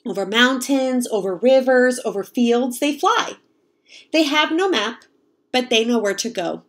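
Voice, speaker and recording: A young woman reading a picture book aloud to children in an expressive, explanatory way. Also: fairly high pitch, a little slow. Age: young adult. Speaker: read-aloud storyteller, teacher. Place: home kitchen, indoors.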